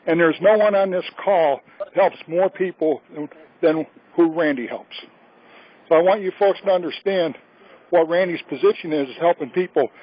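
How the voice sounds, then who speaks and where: Male call participant, fairly high-pitched, earnest and emphatic endorsement, muffled telephone audio. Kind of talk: earnest testimonial. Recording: muffled, band-limited conference phone line.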